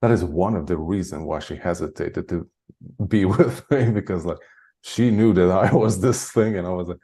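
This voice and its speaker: Man, deep and dark-toned, loose rambling interview guest who chuckles often. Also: moderate pace. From Hungary. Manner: rambling, heavy use of "like", frequent chuckles. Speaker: guest, spiritual channeler. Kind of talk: casual interview chat.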